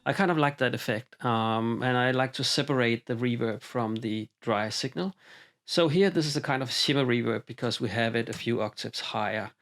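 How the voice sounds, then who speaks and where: A man walking through a synthesizer patch in a home studio, talking in a relaxed, halting way with frequent ums and uhs. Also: medium pitch. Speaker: synthesizer demonstrator. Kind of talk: hands-on patch explanation. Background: synthesizer music.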